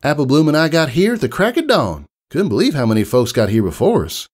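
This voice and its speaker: A man's voice: a little high, big pitch swings, quick pace, voice pushed up. A man voicing a friendly, hardworking country mare who sells apples, talking in hearty, folksy rural slang. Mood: proud.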